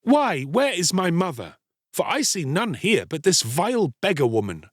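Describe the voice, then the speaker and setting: A male narrator plays a proud young boy from a fairy tale. His pitch sits high and moves widely, and his words are archaic and formal. The recording is close and dry. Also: very animated, moderate pace, no echo. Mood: haughty, scornful. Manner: archaic, formal storybook speech. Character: proud, scornful young boy, cruel then remorseful.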